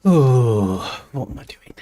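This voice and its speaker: A man's voice: medium pitch, expressive, slow pace, mellow. A man chatting casually while he plays a building game, thinking aloud and rambling. He sounds tired and stuffy-nosed, with a cold. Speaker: streamer. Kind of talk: casual gameplay commentary. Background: background music.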